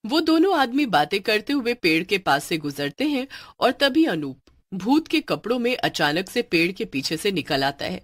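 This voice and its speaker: A woman narrating a cartoon story in a lively storytelling manner. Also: mid-range voice, moderate pace, hardly any pauses, no echo, studio quality.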